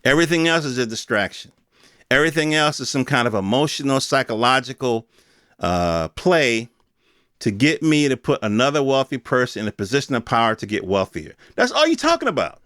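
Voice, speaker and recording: An American man with an average-pitched voice speaks as a podcast host. He delivers unscripted opinion on the news, colloquial and emphatic, often repeating himself to drive a point. The sound is close and dry, with hardly any room on it. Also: sing-song, a little fast, fairly bright tone, no room sound. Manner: emphatic, repetitive, colloquial rhetorical ranting.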